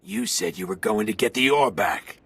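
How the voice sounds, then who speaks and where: A man's voice: lowish voice, sing-song, normal speed, gravelly, fairly bright tone, a little hiss or hum, exaggerated voice. A man playing a callous gang thug in a video game, curt and offhand. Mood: callous, taunting.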